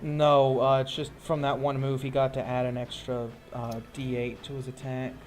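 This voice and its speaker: A man's voice: mid-range voice, completely flat delivery, normal speed, soft background music. A man narrating a tabletop roleplaying game as game master, telling the action in a casual, descriptive way.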